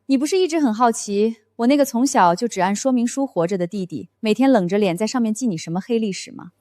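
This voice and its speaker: Fairly high female voice, sardonic, commanding elder sister acted by a woman, amused, animated romance drama. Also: fast talker, no echo, clean studio sound, natural voice.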